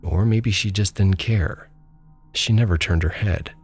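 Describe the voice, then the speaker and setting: A man with a very deep, low voice tells a first-person ghost story in a calm, measured way. His delivery is steady, like a scripted narration. Faint music plays quietly underneath. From small town abroad. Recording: faint music bed behind.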